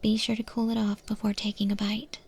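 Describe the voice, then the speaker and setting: A woman playing a calm, courteous eldritch monster chef in a soft-spoken, whispered ASMR roleplay. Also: mid-range voice, slightly rough. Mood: calm, instructive. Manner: slow, gentle, polite, unhurried.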